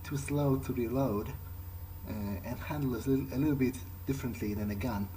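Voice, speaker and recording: A man improvising an in-character video game playthrough, speaking haltingly as he thinks aloud. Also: mid-range voice, warm tone, slight background noise, poor audio.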